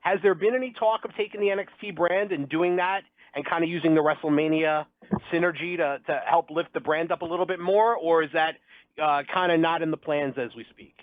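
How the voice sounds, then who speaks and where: A man's voice: a little high, steady tone, brisk, no room sound. A man asking questions as a reporter on a press call, polite and conversational, building long questions with some restarts. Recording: dry, narrow phone line.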